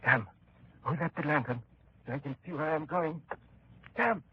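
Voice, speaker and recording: Bossy, scolding male French jailer, played by a man, French accent, fairly high voice, 1930s radio drama, faint noise. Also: normal speed, natural voice. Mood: irritated, bossy. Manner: scolding, brusque. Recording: dull, noisy 1930s radio recording.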